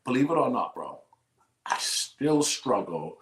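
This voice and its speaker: A man from the Bahamas with a Bahamian accent, hosting a faith-based conversation and telling stories in a lively preacher cadence. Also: medium pitch, a little slow, roomy sound. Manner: animated storyteller, preacher cadence. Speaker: host.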